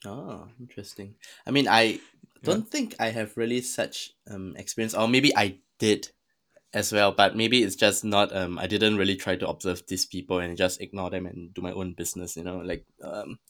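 Podcast guest: young Singaporean male, on the low side, conversational, feeling his way through sentences. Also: moderate pace, a bit bright. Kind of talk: casual conversational storytelling.

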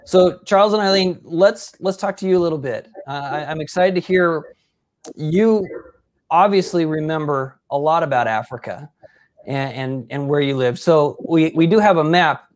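A man's voice: on the high side, normal speed. A man hosting a casual sports interview, upbeat and effusive in his praise of his guest. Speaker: interview host.

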